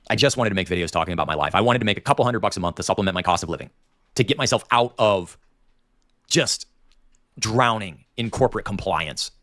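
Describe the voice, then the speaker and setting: A nasal-voiced man with a Dayton, Ohio Appalachian accent hosts a livestream, ranting in long, opinionated digressions. His pitch swings widely as he talks. The sound is dry and close. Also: lively intonation, a little fast, pauses often, fairly bright tone, no echo. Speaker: livestream host. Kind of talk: rambling livestream commentary.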